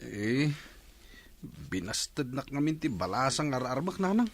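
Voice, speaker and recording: A man's voice: medium pitch, big pitch swings, a little slow, dry recording. A man playing a whiny, scheming young village son in an Ilocano comedy radio drama. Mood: whiny, pleading.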